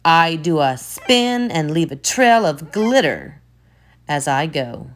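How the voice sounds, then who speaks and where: A woman teacher reading a children's picture book aloud, expressive, with soft whispered asides. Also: sits low, slow pace.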